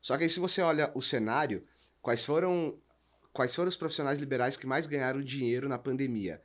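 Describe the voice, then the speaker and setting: A man's voice: medium pitch, brisk, fairly bright tone. A man with a Brazilian accent explaining business as a podcast guest, emphatic and didactic, often posing rhetorical questions. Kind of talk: conversational business explanation with examples.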